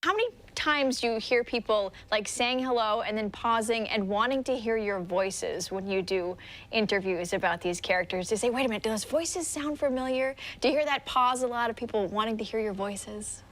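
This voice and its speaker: A woman's voice: medium pitch, normal speed, a bit bright. A woman with an American accent hosting a TV interview in a chatty, informal way. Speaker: TV morning show host interviewer. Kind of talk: broadcast interview chat.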